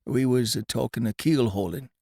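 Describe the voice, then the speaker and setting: A man voicing a sheepish, dim old sailor in an audiobook, deferential and halting. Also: medium pitch, vocal fry, a little mellow, slightly rough, dry recording, studio quality, pitched above the performer's own voice. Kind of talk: audiobook character voice.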